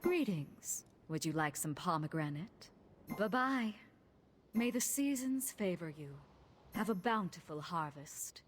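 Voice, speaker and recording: A regal video game goddess of spring and the underworld. She speaks slowly and theatrically, with expressive swings in pitch, polite on the surface and slyly menacing underneath. There is clear noise behind the voice. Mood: courteous, playful. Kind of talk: video game character voice lines.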